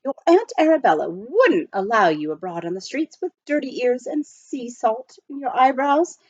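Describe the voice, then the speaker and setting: A woman's voice: a little high, sing-song, moderate pace, no echo, dull audio, pitched above the performer's own voice. A woman voicing a proper, unflappable male English butler with formal, precise, reassuring speech in a storybook. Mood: composed, optimistic.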